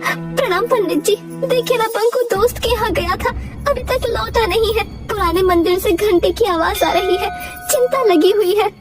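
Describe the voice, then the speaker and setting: A woman's voice: very high-pitched, moderate pace, recorded from a distance, pitched above the performer's own voice. A woman voicing an anxious, fretful village wife and mother in a cartoon. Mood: worried. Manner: worried, fretful. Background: loud music.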